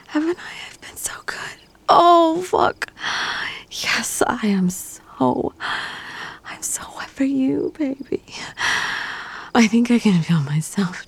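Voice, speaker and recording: A woman speaking in a breathy whisper broken by moans and gasps, in an intimate erotic roleplay addressed to a partner. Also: fairly high pitch.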